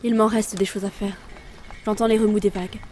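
A woman's voice: mid-range voice, flat delivery, brisk, a little mellow, a little hiss or hum, lightly acted. An actress playing a weary, brooding female reaper of souls in an introspective audio drama narration. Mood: resolute. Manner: solemn, introspective.

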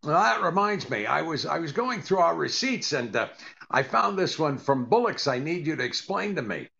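Older male actor as a put-upon radio sitcom husband, deadpan, sharp gravelly delivery, slightly dull recording. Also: fairly high pitch, moderate pace, full character voice. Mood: dry, patient. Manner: deadpan, hesitant with uhs. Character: dry, put-upon comedian husband doing income tax. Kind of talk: 1940s radio comedy acting.

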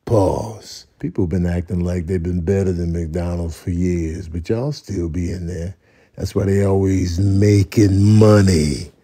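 A male comedian giving a comedic rant in slangy, emphatic, deadpan exasperation. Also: sits low, unhurried, husky. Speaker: comedian commentator.

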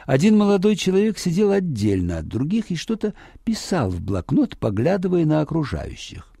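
A man narrating a fairy tale for children in an expressive, dramatic storytelling manner. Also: a little high, normal speed, gravelly, husky, no room sound, studio quality.

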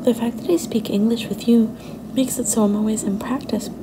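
Woman acting young girlfriend, affectionate, a bit possessive, soft whispery ASMR delivery, gravelly creak, noisy ambient recording. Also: a little high, fairly even intonation, fairly quick, lightly acted. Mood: thoughtful, affectionate. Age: young adult. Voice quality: soft, often breathy and whispery.

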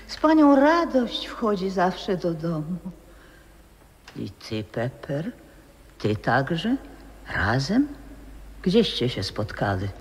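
Slow and husky, a frail old grandmother who once sang on stage drifts between whispers and wistful memory. Her speech is dreamy and unhurried. The old radio recording carries a long, hall-like reverb. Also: on the low side, sing-song, full character voice. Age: older. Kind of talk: 1960s radio play acting.

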